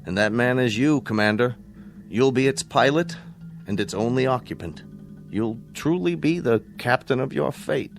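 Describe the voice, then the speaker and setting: An actor in a radio drama playing a grave, middle-aged scientist, speaking formally and precisely with weighty pauses. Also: male voice, mid-range voice, lively intonation, vocal fry, soft background music. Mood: solemn, stirring. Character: grave, candid male government scientist briefing an astronaut.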